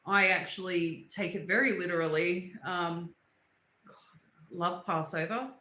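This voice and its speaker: A woman's voice: fairly low pitch, fairly even intonation, measured pace, sharp tone, a little hiss or hum. A woman hosting a casual livestream, rambling through personal stories and answering viewers' comments, with English as her second language. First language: not English. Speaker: livestream host reading chat. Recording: echoey room.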